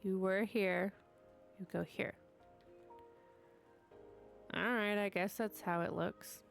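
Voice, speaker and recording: A woman's voice: medium pitch, steady tone, slow, creaky, faint music behind. A young woman streaming a game, stuffy and sniffly from allergies, chatting to herself and her dog as she builds. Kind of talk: casual gameplay commentary.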